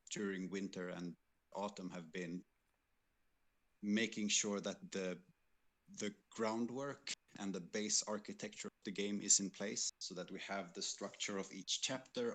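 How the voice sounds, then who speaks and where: A man with a fairly low voice talks through his work on a livestream. He explains things in a measured, methodical way, as a programmer would. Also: a bit bright. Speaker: game programmer on a development team.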